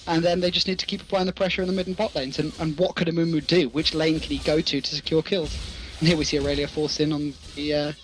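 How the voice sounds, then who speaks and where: A man's voice: on the high side, flat delivery, fast talker, background noise. A man giving live esports commentary, talking through the play conversationally and posing rhetorical questions.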